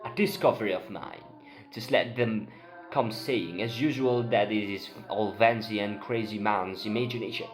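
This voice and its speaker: A man's voice: fairly low pitch, moderate pace, lightly acted. Man acting an indignant, emphatic town doctor, music behind. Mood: triumphant, impatient. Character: excitable crusading male town doctor, proud and indignant. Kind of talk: amateur dramatic play reading. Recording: voice over prominent background music.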